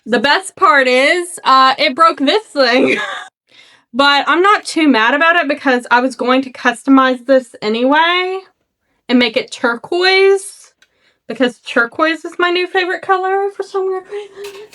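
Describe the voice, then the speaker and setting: A woman's voice: sits high, measured pace, pushed and bright. Chatty young Arkansas woman with a lisp. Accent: Southern US, Arkansas. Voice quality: slight lisp. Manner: chatty, self-deprecating, laughs and trips over words. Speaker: makeup vlogger. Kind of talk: casual makeup vlog chat. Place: at home, seated. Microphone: phone camera.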